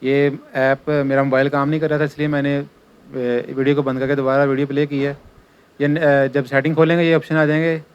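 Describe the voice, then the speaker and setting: A man's voice: fairly high pitch, clear, resonant tone, muffled recording. A man giving an informal hands-on tutorial as an installer, explaining step by step and repeating his instructions.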